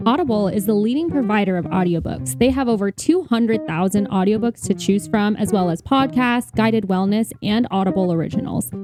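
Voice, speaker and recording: A woman's voice: mid-range voice, brisk, few pauses, over music. A woman chatting informally as a podcast co-host, in a talkative, conversational way. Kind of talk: casual conversational podcast chat.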